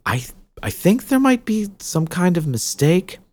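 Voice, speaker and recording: A woman's voice: on the low side, big pitch swings, unhurried, a little mellow, no room sound, voice pushed up. A man voicing a young, nervous witch girl who rambles hesitantly with lots of fillers. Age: young adult.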